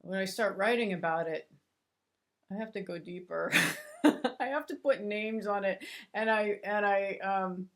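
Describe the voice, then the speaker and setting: A woman hosting an interview, gentle and musing, with fillers and restarts as she thinks aloud. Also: medium pitch, measured pace. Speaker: interview host. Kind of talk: interview conversation.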